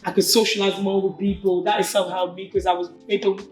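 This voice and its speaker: Woman in her twenties from Cameroon, French her first language, informal and animated, echoey room, faint music behind. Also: mid-range voice, fast talker. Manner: informal and animated, slangy asides. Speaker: interview guest, boxer. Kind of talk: casual interview chat.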